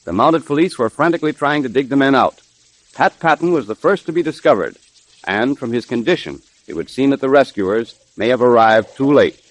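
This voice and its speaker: Animated 1930s radio announcer, a man. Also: medium pitch, brisk, no room sound, poor audio. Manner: emphatic, salesmanlike.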